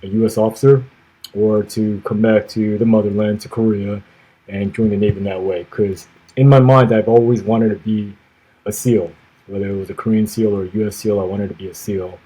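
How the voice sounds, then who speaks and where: A man, answering interview questions in a measured way, pausing often on "uh" and letting phrases trail off quietly. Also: fairly low pitch, level tone, husky, poor audio. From grew up in the United States. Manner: measured, many "uh" pauses, trailing off softly at phrase ends. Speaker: podcast guest, former navy officer.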